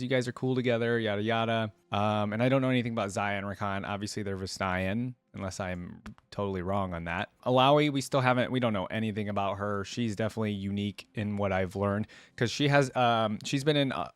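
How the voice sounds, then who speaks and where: A man's voice: on the low side, smooth, no echo. A man giving casual, unscripted commentary as a reaction channel host, rambling and thinking aloud as he goes.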